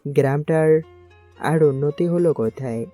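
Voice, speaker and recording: Man as a bright, sharp-voiced older schoolmaster. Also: on the high side, measured pace, faint music behind, close to an everyday voice. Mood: concerned. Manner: fatherly, welcoming. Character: kindly older village schoolteacher, fatherly and proud. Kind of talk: dramatized romantic audio story.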